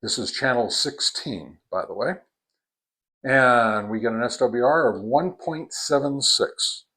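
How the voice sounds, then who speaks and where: A man's voice: mid-range voice, a little slow, bright, forceful tone, breathy edge, low-quality recording. A man doing a casual, plainspoken review of radio equipment, with frequent fillers and "all right?" tags.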